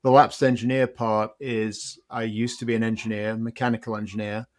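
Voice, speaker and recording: A middle-aged man with a British accent, a podcast guest telling his story in a reflective, measured way. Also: fairly low pitch, little pitch movement. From Britain. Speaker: guest, company CEO and author. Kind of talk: interview conversation.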